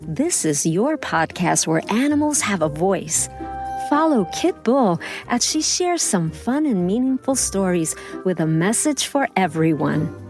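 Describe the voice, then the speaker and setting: A woman reads a scripted podcast welcome in a mid-pitched voice. Her intonation is animated and swings widely. Music plays clearly behind her. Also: a little slow. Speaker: podcast host and creator. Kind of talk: scripted show introduction.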